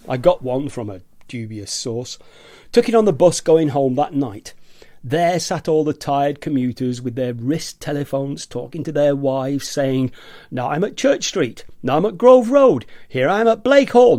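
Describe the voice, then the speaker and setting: A man's voice: medium pitch, a little mellow, no room sound, studio quality, close to an everyday voice. A man acting an agitated, eccentric office worker in rebellion against gadgets, in a theatrical radio play monologue, savouring his words and mimicking nagging voices.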